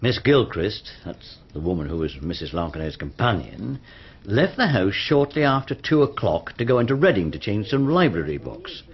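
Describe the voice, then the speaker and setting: An actor with a British accent playing a methodical, matter-of-fact police inspector in a radio drama, speaking in a measured, probing way. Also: male voice, lowish voice, very animated, husky, full character voice. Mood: grave, matter-of-fact. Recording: slightly dull, band-limited radio recording.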